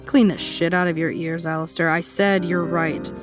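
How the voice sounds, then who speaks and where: A woman's voice: lowish voice, steady tone, normal speed, music in the background, lightly acted. A woman acting a brash, foul-mouthed believer in an audio drama, blunt and sarcastic. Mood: blunt, exasperated.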